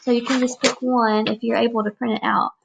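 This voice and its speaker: Higher-pitched woman teacher with creaky voice. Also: little pitch movement, brisk, heavy background noise. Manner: simple, explanatory phrasing aimed at children. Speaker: elementary school teacher. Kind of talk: read-aloud lesson for young children.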